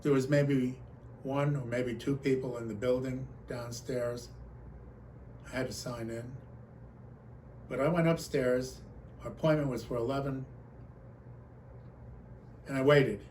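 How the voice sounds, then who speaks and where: A man speaks as a conference storyteller, relaying a personal anecdote and its dialogue in an expressive way. His voice sits at an average pitch for a man. There is audible noise behind him in the recording. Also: a little slow, long pauses. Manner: animated storyteller, acts out quoted exchanges.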